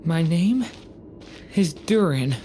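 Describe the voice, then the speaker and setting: A woman's voice: fairly low pitch, animated, slow pace, mellow, slight background noise. A woman voicing a lost, fearful young boy in an anime fantasy game, faint and hesitant. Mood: confused.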